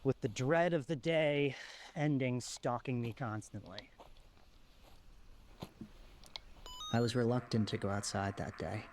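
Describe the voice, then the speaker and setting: A man's voice: medium pitch, moderate pace, darkish tone, close to an everyday voice. A man playing a haunted lone survivor, noisy recording. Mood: uneasy, haunted. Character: lone male survivor of a monster apocalypse, haunted, reflective. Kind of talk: found-footage horror narration, confiding monologue.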